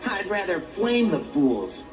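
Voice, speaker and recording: A man voicing a brash young male dragon hero in a video game, with quick wisecracking lines. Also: sits high, very animated, distant mic, exaggerated voice, rough recording. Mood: cocky. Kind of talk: video game cutscene acting. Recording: music behind, from off mic.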